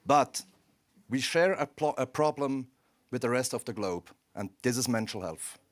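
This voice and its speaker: A man's voice: medium pitch, animated, normal speed. A man from Switzerland presents his company to a conference audience, speaking English with a Swiss accent as a non-native speaker. He opens with ironic, self-deprecating humor. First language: not English. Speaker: startup CEO presenting. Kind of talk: conference pitch talk. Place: conference stage.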